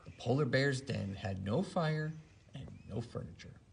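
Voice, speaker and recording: A man reading aloud to a child in an expressive, animated storytelling manner. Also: medium pitch, measured pace, gravelly, slight background noise. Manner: animated, acts out character lines. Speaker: storybook reader. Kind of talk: expressive read-aloud of a children's story, then casual chat.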